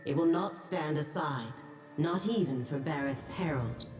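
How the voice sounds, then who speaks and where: A low, solemn female deity in a fantasy game. Her formal, portentous speech echoes over clearly audible music. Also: measured pace. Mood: foreboding. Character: solemn, foreboding goddess.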